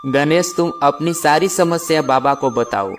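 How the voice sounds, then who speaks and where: Man putting on a friendly high-pitched villager. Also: measured pace, faint music behind, close to an everyday voice. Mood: helpful. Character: friendly, helpful male villager, the farmer's friend. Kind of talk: acted children's moral story.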